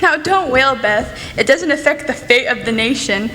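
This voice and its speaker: A woman's voice: sits high, expressive, normal speed, pushed and bright. A young woman playing a headstrong, impulsive young writer in a radio play, with an emphatic, dramatic delivery. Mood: defiant, breezy. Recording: echoey hall with long reverb, noisy.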